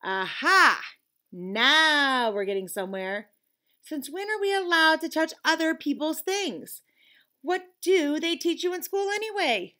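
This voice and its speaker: A woman voicing a storybook lizard's bossy, scolding conscience, interrogating with drawn-out suspicion. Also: high voice, very animated, pushed and bright, pitched above the performer's own voice, heavily acted. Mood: suspicious, scolding.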